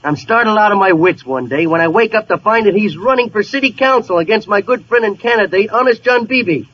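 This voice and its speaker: A man's voice: sits high, lively intonation, fairly quick. An actor playing a brash, indignant, wisecracking small-time gangster boss in a 1940s radio comedy, with a streetwise tough-guy delivery. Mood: wry storytelling. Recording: muffled, noisy 1940s radio recording.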